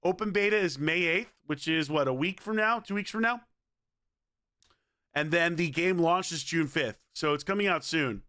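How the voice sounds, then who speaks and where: A man with a hoarse voice, chatting casually and energetically on a game livestream and pleading with viewers. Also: fairly high pitch, moderate pace, pushed and bright, no room sound, studio quality. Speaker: game streamer.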